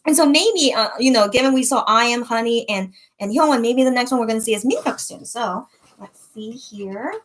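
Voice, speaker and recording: A young woman from the United States chatting casually while reading viewer comments, rambling with many fillers and restarts and often trailing off softly. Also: on the high side, fairly quick. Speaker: online shop owner reading viewer comments. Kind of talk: casual livestream-style chat.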